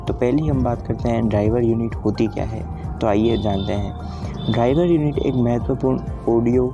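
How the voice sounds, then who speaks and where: A man narrates a technical explainer in a low, very even voice, going through it point by point. The recording is dull and lo-fi, with music clearly playing behind him. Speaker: presenter of an audio equipment explainer. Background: clear background music.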